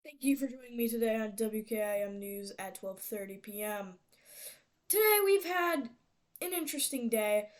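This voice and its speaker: A woman's voice: fairly high pitch, close to an everyday voice. A woman playing a composed local news anchor, reading a scripted bulletin in a formal, presentational way.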